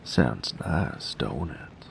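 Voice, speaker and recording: Casting: gruff, jaded bandit drifter, played by a male actor, extremely low and heavily creaky, sardonic folksy drawl, for roleplay audio drama. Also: big pitch swings, drawn-out words, a little hiss or hum, exaggerated voice. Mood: wistful. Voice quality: gravelly, very low voice.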